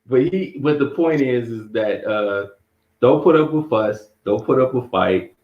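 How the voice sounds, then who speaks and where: A man's voice: medium pitch, animated, unhurried. A man with a Southern US accent, a guest telling travel stories in casual slangy talk and laughing often. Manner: laid-back storyteller, slangy, laughs often. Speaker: interview guest. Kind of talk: casual interview chat. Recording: echoey muffled call audio.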